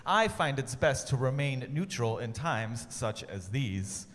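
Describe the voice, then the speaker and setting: A man doing an aloof, measured giraffe. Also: medium pitch. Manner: calm, measured, noncommittal. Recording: echoey room with faint ambient noise.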